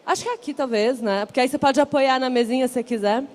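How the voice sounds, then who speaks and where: A woman's voice: high voice, racing. A woman with a São Paulo accent hosting a panel on stage at a large event, chatty and upbeat as she rallies the audience. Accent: São Paulo Portuguese. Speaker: event host.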